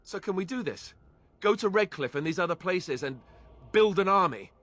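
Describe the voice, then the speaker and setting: An actor playing an earnest, wary young warrior in a video game, boyish and a little hesitant. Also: male voice, high voice, animated, moderate pace, fairly bright tone, no echo. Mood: eager. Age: young adult. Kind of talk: video game dialogue acting.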